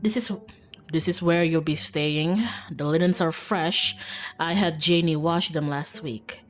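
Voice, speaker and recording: A woman's voice: sits low, unhurried. A woman voicing a stern, testy young female cousin with a curt, condescending, formal manner in a visual novel. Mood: curt, haughty. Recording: background music.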